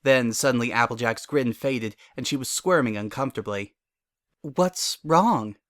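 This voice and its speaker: A man's voice: medium pitch, fairly quick, a bit bright, no echo. Male story narrator, dry close recording.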